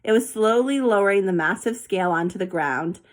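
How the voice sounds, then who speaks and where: A woman reading a children's story aloud with an expressive, animated delivery. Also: medium pitch, fairly quick. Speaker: storybook reader.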